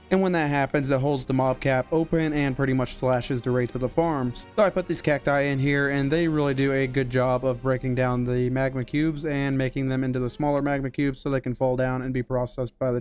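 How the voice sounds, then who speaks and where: Young male streamer, mid-pitched, a bit worn from illness, explains builds step by step over faint background music. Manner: explanatory, steady tutorial delivery. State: recovering from illness, voice strained. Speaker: game streamer. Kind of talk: gameplay walkthrough narration. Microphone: headset mic.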